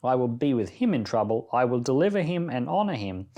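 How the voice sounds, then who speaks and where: A man's voice: medium pitch, sing-song, brisk, warm tone. A man speaking as a pastor on a Bible podcast, reading scripture and offering reflective, informal devotional commentary.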